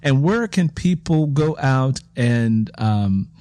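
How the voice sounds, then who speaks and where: A man's voice: mid-range voice, lively intonation, slow, warm tone, no room sound. Reflective male interview host, dry close sound. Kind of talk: conversational interview.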